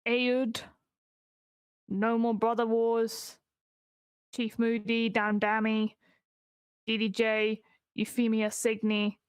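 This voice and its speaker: A woman's voice: a little high, monotone, slow pace, pauses often, clean tone, no room sound. A woman co-hosting a storytelling stream, casually thanking viewers and chatting. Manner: friendly, reads out viewer names. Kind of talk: casual chat.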